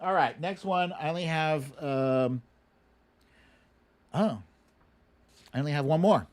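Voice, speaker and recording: A man's voice: fairly high pitch, slow pace, a little mellow. A middle-aged man hosting a live stream, chatting casually and playfully as he runs a guessing game and reads out names. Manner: playful, hums and recites lyrics. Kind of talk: casual chat, running a song guessing game and reading out names.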